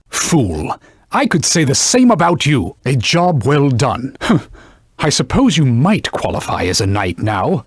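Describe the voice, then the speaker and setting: A man's voice: a little high, husky, dry recording, studio quality, full character voice. A man voicing a haughty enchanted sword in a video game, a stern knightly mentor who scolds and instructs in pompous, old-fashioned language. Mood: grudging, dry. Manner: pompous, scolding, archaic formal diction. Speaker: combat mentor. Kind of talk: video game voice acting, combat tutorial barks.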